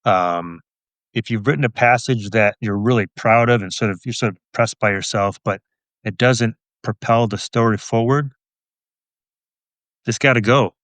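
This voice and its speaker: Thoughtful man with a lowish voice. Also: normal speed, a bit bright, dry recording. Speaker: author, interview guest. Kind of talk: casual, reflective interview answers.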